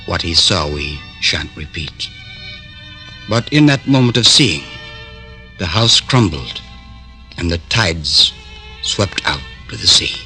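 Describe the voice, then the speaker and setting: Portentous storyteller, low forceful voice, sweeping intonation, 1950s radio drama, music behind. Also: male voice, big pitch swings, heavily acted. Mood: grave, ominous. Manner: dramatic, measured. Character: grave, portentous male storyteller. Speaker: narrator.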